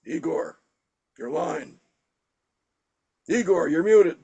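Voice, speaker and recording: A man with a high, creaky voice acts as writer and narrator of a comedy sketch. He talks casually as he assigns parts and reads out the stage directions. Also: lively intonation, slow, dark tone, highs cut off.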